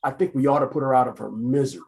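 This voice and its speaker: A man's voice: mid-range voice, very fast, gravelly, a little mellow, natural voice. A man playing a menacing, impatient gang boss in an old-time radio crime drama, bossy and sarcastic with tough gangster slang. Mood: bossy, exasperated.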